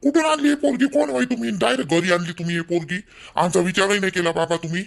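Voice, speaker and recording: A man's voice: high voice, fast, vocal fry. A man playing an angry young man of the family who scolds and threatens. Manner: shouting, threatening. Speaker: actor in a family drama skit.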